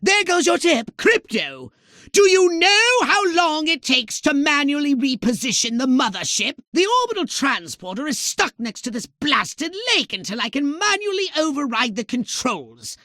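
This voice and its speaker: A man's voice: extremely high pitch, big pitch swings, normal speed, pushed and bright, dry recording, clean studio sound, heavily acted. A man playing a small, haughty, impatient alien commander in a video game, giving orders in pompous, theatrical, scolding tones with clipped formal diction. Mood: exasperated scolding. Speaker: mission controller giving orders.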